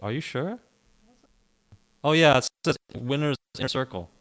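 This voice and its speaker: A man's voice: mid-range voice, animated, normal speed, a bit bright, smooth. A man co-hosting a casual podcast chat, opinionated and rambling, often restarting his sentences. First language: English. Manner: opinionated, rambling, repeats himself, frequent restarts. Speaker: podcast co-host.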